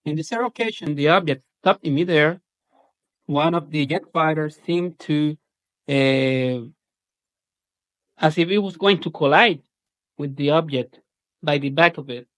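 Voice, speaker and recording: Higher-pitched Puerto Rican man recounting incidents. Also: a little slow, pauses often, fairly bright tone, no room sound, low-quality recording. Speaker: UFO researcher giving a presentation.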